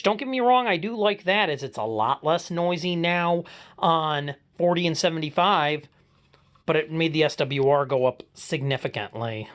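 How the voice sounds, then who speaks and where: A man's voice: fairly high pitch, fairly bright tone. A man with an American accent casually presenting a hobby vlog, walking viewers through equipment. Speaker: amateur radio hobbyist presenter.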